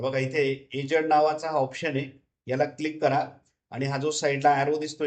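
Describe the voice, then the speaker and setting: A man giving an unscripted, step-by-step software tutorial in a repetitive, instructional way. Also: a little high. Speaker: tutorial presenter.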